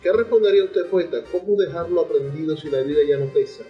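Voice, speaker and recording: A man's voice: fairly high pitch, fast. A man with a Venezuelan accent hosting a literary radio interview, speaking as presenter and reader. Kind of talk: literary radio interview, reading poetry aloud at times. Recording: dull lo-fi radio sound, music behind. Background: music.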